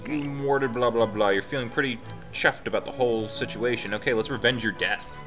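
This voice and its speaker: A man's voice: mid-range voice, brisk, over music. A man hosting a let's play, reading the game text aloud with his own asides and chuckles.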